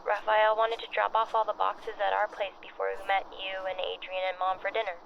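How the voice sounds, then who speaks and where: A woman's voice: medium pitch, monotone, brisk, gravelly, close to an everyday voice. An actress playing a puzzled young woman on a call in an audio drama, in a muffled recording with faint noise. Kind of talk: naturalistic audio drama dialogue.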